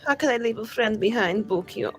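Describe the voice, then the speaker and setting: A woman's voice: fairly high pitch, lively intonation, brisk, soft background music. A woman playing a tabletop roleplaying game, chatting playfully in character.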